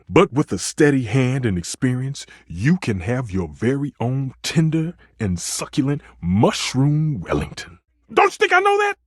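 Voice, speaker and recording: Casting: passionate living-stove chef for a game; grandiose, higher-pitched, huge melodic swings. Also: male voice, no room sound, studio quality. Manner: theatrical, grandiose, exclamatory. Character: flamboyant passionate male stove chef, theatrical and romantic. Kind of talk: video game character acting.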